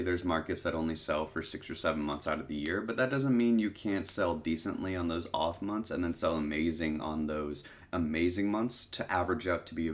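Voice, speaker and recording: A young man with a stuffy, congested voice casually explaining his method in a tutorial, with lots of "um"s. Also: sits low. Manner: relaxed, filler-heavy explaining with many "um"s. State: congested from a cold. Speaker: online seller teaching product research. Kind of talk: casual screen-recorded tutorial.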